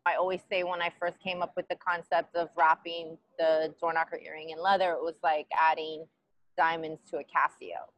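Chatty woman from Queens, relaxed and casual. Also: sits low, moderate pace, low-quality recording. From Queens, New York. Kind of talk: casual interview.

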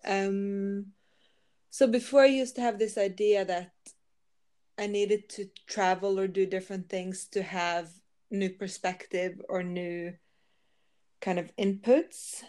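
A woman's voice: medium pitch, flat delivery, slow pace, darkish tone. A woman in her thirties from Norway, speaking English with a Norwegian accent as a podcast guest, easygoing with light laughs. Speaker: guest, yoga teacher and psychotherapist. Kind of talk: casual interview conversation.

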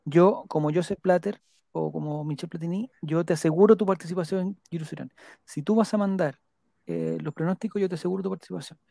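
A live stream host with a Chilean accent talks casually in a dry, close sound. The delivery is playful and rambling, with viewer comments read aloud and names repeated slowly back. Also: medium pitch, gravelly, mellow, dry recording. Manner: rambling, repeats names while jotting them down; playful, joking.

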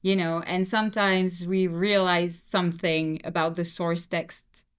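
A young woman whose first language is French, talking relaxed and casually as an interview guest, with many fillers. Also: fairly low pitch, a little slow, clean tone. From Belgium. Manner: relaxed, frequent fillers and "you know". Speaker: guest translator.

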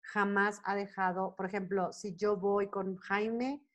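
A Mexican woman in her forties speaking casually as an interview guest, telling stories in an animated way and acting out bits of dialogue. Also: medium pitch, takes it slowly. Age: 40s. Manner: animated storytelling, often acting out quoted dialogue. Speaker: guest performer, singer and actress.

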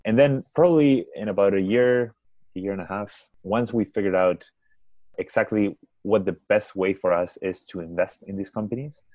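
A man's voice: on the low side, moderate pace, darkish tone, dry recording, low-quality recording. A man with a Spanish accent, a startup founder answering podcast questions thoughtfully and often restarting his words. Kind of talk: conversational interview answers.

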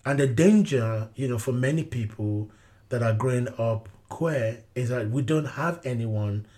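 A man, a pastor answering interview questions in a measured, earnest way, often saying "you know". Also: mid-range voice, dark tone. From Nigeria.

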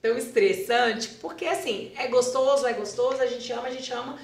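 A woman's voice: on the high side, a little fast, creaky, roomy sound. A woman with a Brazilian Portuguese accent, a dance school founder and teacher, telling stories in a casual podcast interview and repeating words for emphasis.

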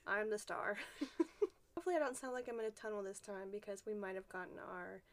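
A woman's voice: medium pitch, fairly quick. A young adult woman co-hosting a casual podcast while sick, joking and poking fun at herself. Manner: joking, self-mocking. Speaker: podcast co-host. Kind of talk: casual chat.

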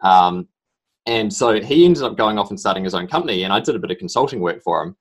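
Deep-voiced New Zealand man, halting. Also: expressive, slightly rough. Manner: halting, rambling storytelling full of ums. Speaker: podcast guest. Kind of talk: casual interview talk.

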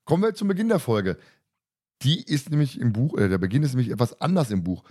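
A man speaks very quickly at a medium pitch as a podcast host. His manner is colloquial and teasing, in a casual discussion where he recaps and comments with jokes. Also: very animated, a little mellow.

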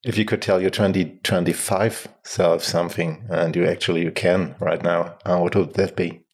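A man's voice: low-pitched, little pitch movement, normal speed, a little mellow. A man with a German accent hosting a podcast interview, asking friendly questions. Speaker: podcast host. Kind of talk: casual interview.

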